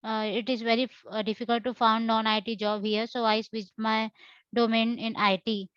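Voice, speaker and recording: A woman from India introducing herself over a video call, talking in a halting, hesitant way with many pauses. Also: fairly high pitch, level tone, a bit bright, clear, resonant tone, dry recording, lo-fi sound. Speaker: course participant. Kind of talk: self-introduction.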